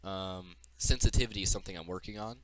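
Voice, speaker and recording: A man's voice: low voice, quick pace, fairly bright tone. A man giving an informal, unscripted walkthrough of a game demo as its developer, explaining as he goes, with audible breathing into the mic.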